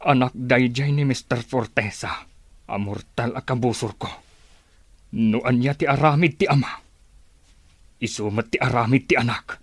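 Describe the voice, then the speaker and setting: A male actor plays a bitter man set on revenge in a radio drama. His voice is husky, mid-pitched and recorded dry. He speaks in a grave, brooding way. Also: moderate pace, pauses often, no room sound, close to an everyday voice. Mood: vengeful. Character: bitter, vengeful man railing at greedy enemies.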